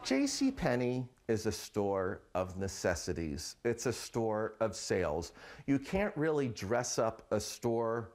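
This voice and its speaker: Commentator giving interview opinion, ordinary middle pitch. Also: big pitch swings, takes it slowly, a little mellow.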